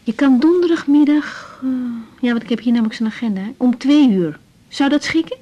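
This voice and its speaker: A kind young female doctor in a radio drama. Her voice is fairly high but soft and mellow, with an informal, tactful, slightly tentative way of reassuring. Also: expressive, fairly quick, lo-fi sound. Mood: reassuring, practical. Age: young adult. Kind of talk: naturalistic 1980s radio drama acting.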